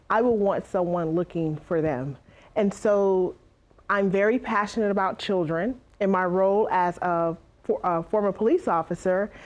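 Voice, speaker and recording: A woman in her thirties from South Carolina, a former police officer and missing persons advocate, speaking earnestly and deliberately to camera on a studio stage. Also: mid-range voice, a little mellow. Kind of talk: direct-to-camera personal talk.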